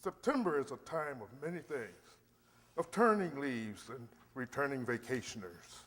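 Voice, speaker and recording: A man playing a mystery announcer and wistful narrator in old-time radio style. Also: mid-range voice. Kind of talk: 1940s radio acting, scripted narration. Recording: echoey hall, music behind. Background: music.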